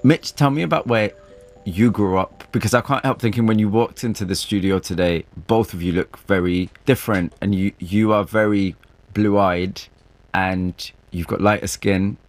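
A man's voice: lowish voice, moderate pace, soft background music. A man hosting an interview in a studio, asking curious, probing questions and narrating between answers. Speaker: host and interviewer.

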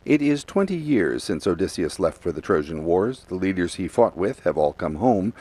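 A man's voice: deep voice, big pitch swings, moderate pace, dark tone, husky. A man working as a critic, narrating a scripted radio film review.

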